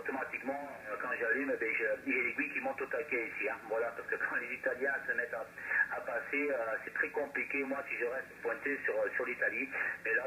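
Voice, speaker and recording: A man's voice: medium pitch, sharp tone. A man chatting casually as an amateur radio operator, heard through a radio receiver, rambling and repeating his words. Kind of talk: casual radio contact chat. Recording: noisy shortwave radio reception.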